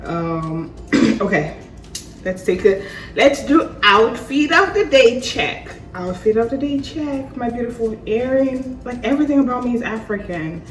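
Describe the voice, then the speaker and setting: A young woman from Sierra Leone, hoarse from a cold, talking casually to her viewers in a chatty vlog. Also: unhurried, echoey room, distant mic, low-quality recording. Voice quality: deep voice. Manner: chatty, lively and warm to viewers, often laughing. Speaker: vlogger. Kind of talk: casual talk to camera.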